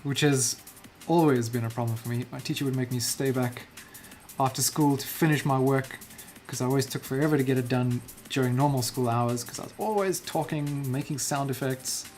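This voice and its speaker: A man's voice: medium pitch, brisk, a little mellow, faint music behind. Chatty South African man painting on stream. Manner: chatty, self-deprecating, muttering to himself as he works. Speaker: livestreaming digital painter. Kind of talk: casual chat while painting on stream.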